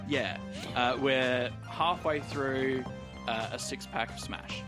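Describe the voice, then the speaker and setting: A man playing a tabletop game, casually improvising descriptions of his character with halting, drawn-out phrasing. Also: medium pitch. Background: music.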